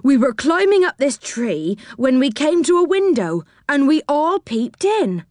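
A tearful little toy sailor doll from a storybook, as a woman reader puts it on, plaintive and woeful. The voice rides noticeably higher than the narration, and the sound is dry and close. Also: very animated, a little slow, a little mellow, dry recording.

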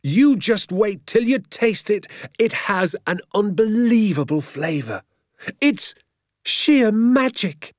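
Storybook dad, played by a man, giddy with triumph and boastful, emphatic, relishing every word, wildly varied pitch. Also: sing-song, normal speed, a little mellow, no room sound, studio quality. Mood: exultant. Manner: grandly emphatic, stretches words with relish.

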